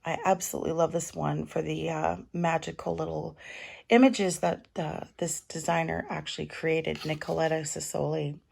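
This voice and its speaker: Raspy-voiced woman with a cold. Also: deep voice, flat delivery, moderate pace. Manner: chatty, warm advice with fillers. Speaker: tarot reader. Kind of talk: casual tarot reading to camera.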